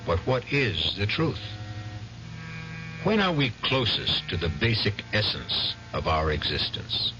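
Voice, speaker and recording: A man hosting and narrating a radio mystery series, speaking in a solemn, deliberate way. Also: lowish voice, big pitch swings, background noise. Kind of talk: scripted narration. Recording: muffled old radio broadcast with music behind.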